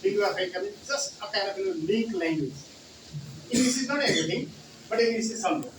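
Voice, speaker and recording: A man's voice: high-pitched, quick pace. A man with a Nepali accent giving a conference talk on English teaching, with many "you know" fillers and repeated key words. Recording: roomy hall from far off, noisy.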